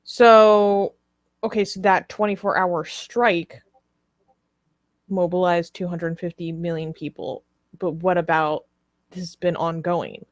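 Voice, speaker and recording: A woman's voice: on the low side, steady tone, takes it slowly, no room sound. A woman co-hosting a live discussion, reading articles aloud and commenting on them. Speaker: talk show co-host.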